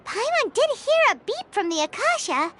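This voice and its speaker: A woman voicing a tiny, excitable, childlike fairy companion in a video game, squeaky and exclamatory. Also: normal speed, heavy background noise. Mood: puzzled. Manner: refers to self in third person, exclamatory. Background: game music.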